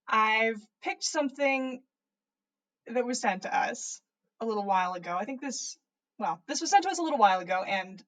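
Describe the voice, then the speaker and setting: A woman's voice: fairly high pitch, poor audio. A woman podcast host with an informal manner, reading stories aloud and chatting casually. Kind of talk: animated story reading and casual livestream chat.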